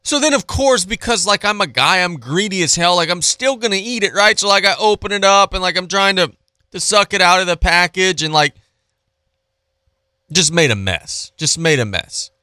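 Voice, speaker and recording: Bright-voiced male sports radio host. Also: high voice, moderate pace, clear, resonant tone, no echo, studio quality. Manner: informal, slangy, animated storytelling with repetition. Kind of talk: casual, chatty live radio sports talk. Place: radio studio. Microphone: close studio microphone.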